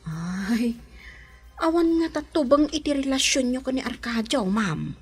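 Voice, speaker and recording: A woman playing an excitable, supportive confidante in an audio drama, exclamatory and husky. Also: sits high, measured pace, full character voice. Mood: teasing, supportive.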